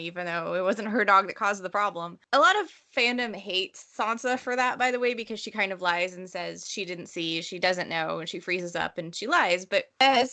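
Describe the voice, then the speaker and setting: Very bright, emphatic woman host. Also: medium pitch. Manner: opinionated, casually sweary, emphatic. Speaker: podcast host. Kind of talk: casual book discussion.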